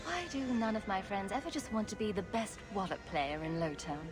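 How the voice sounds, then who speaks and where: A woman's voice: medium pitch, big pitch swings, a little fast, music in the background, lightly acted. A woman playing a wry, caring and self-assured heroine in a video game, with a dry delivery. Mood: joking.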